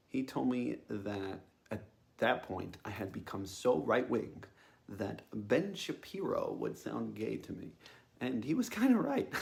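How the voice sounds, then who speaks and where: Young man, creaky, halting storyteller. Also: fairly low pitch, darkish tone. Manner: hesitant, halting delivery with frequent uh and um. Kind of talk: informal first-person storytelling talk.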